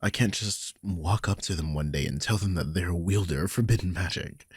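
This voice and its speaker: A man playing a grumpy, sardonic old vampire mentor in an intimate audio roleplay, husky and informal, prone to swearing. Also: low-pitched, brisk, no echo, studio quality. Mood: defensive, sardonic.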